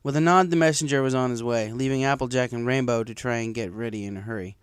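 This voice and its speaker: A man's voice: medium pitch, fast talker, smooth, no echo, studio quality. A man reading a story aloud as scripted narration. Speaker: story reader. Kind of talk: scripted narration of fan fiction.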